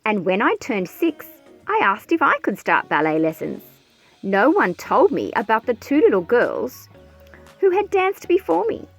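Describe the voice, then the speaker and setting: A woman narrates a picture book in a fairly high, very animated voice. She tells the story expressively, in a measured scripted read-aloud style. Soft music plays behind her. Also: gravelly, husky. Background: background music.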